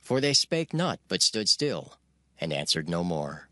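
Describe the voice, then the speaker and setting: Expressive male scripture narrator, dry sound. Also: low-pitched, unhurried, no room sound.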